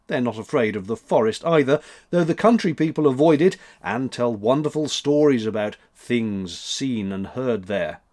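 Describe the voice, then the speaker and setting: Male storyteller, mid-pitched, expressive. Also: moderate pace, hardly any pauses. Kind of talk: expressive scripted story narration.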